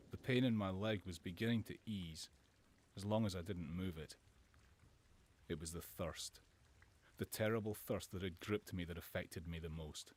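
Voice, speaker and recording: A man playing a reflective British soldier, husky-voiced, narrating war memories in a student radio drama. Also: sits low. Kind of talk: scripted first-person drama narration.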